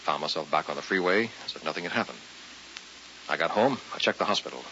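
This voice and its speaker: An actor playing a frightened, exhausted husband in a vintage radio drama, halting and earnest, with a gravelly voice. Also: male voice, lively intonation, bright, forceful tone, heavily acted. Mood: desperate, confessing. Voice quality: gravelly, very low. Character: frightened, exhausted husband haunted by a strange accident. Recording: vintage radio, dull with faint hiss.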